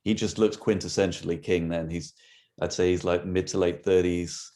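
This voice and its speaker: A man's voice: sits low, steady tone, moderate pace, warm tone. A man hosting a podcast, chatting casually and rambling a little, laughing easily. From England. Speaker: podcast host. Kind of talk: casual video-call interview.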